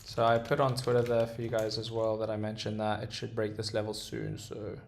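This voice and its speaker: A young man with a British accent chats his way through trading charts on a live stream, his voice low in melody and gravelly at the edges. He talks in a relaxed, halting way, full of fillers and restarts. The sound bounces around a roomy space. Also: slight background noise. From Dubai. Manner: relaxed, hesitant, many ums and restarts. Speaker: day trader streamer. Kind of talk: casual livestream chart commentary, reading chat. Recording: roomy echo.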